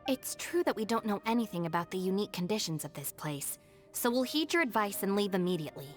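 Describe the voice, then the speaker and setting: A sensible young female deputy from a video game, played by a woman, mid-pitched with lively inflection. She is polite and wryly exasperated, with faint music behind. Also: fast, lightly acted. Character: level-headed young female deputy to a reckless boss.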